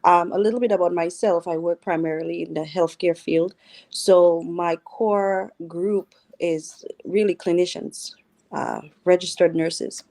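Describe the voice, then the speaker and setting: A woman with a Dominican accent and a fairly low voice, speaking as a guest on a radio talk show. She works in healthcare and explains earnestly, often checking in with her listeners with a quick "right?". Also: fairly even intonation, creaky, a little mellow.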